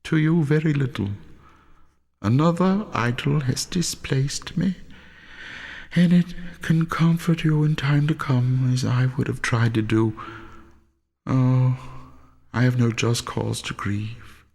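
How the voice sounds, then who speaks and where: A man voicing a gentle, sorrowful young woman in a storybook reading, speaking softly and gravely. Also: medium pitch, takes it slowly, long pauses, in a large hall. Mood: sad reproach. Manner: soft, grave.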